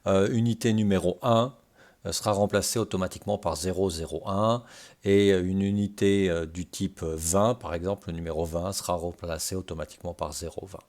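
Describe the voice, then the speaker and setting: A man presenting an informal step-by-step software tutorial, explaining things and checking in with short tags. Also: on the low side. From Brittany, France.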